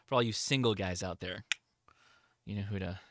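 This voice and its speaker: A man's voice: on the low side, moderate pace, no room sound, studio quality. A man hosting a livestream, answering chat questions in a casual, informal, enthusiastic hobbyist way.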